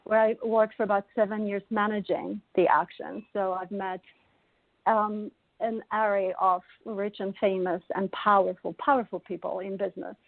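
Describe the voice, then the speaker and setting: A woman's voice: medium pitch, steady tone, moderate pace, vocal fry, no room sound. Woman, polish accent, middle-aged, chatty interview guest, laughs a lot, phone-like sound, dry. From Poland. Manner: warm, laughs often while telling stories. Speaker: guest, wellness life coach. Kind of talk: casual interview storytelling. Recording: dry, tinny call audio.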